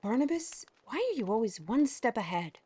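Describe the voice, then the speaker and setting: A woman's voice: fairly high pitch, sing-song, normal speed, dark tone, slightly rough. A woman playing a wry fantasy heroine. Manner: wry, dryly sarcastic.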